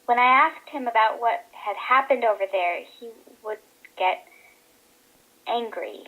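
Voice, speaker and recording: A woman's voice: fairly high pitch, measured pace, pushed and bright, rough recording. A woman playing a soldier's distraught young wife, faltering as she is interviewed for a radio drama. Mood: upset, faltering. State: upset.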